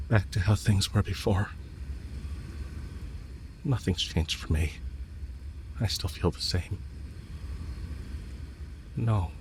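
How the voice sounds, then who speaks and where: A man playing a lovestruck villain in an intimate audio roleplay, speaking with earnest, halting hesitation and yearning. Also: fairly low pitch, background noise. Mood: quiet, devoted. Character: lovestruck male villain confessing to his enemy, yearning.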